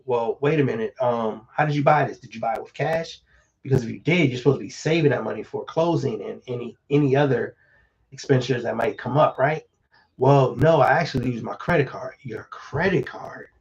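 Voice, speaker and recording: A slightly hoarse man talks through home-buying advice in an easygoing, rambling live-stream style. His pitch sits mid-range, and the audio is a little dull and lo-fi. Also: a little fast. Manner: relaxed, conversational, many fillers and restarts. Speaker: real estate agent.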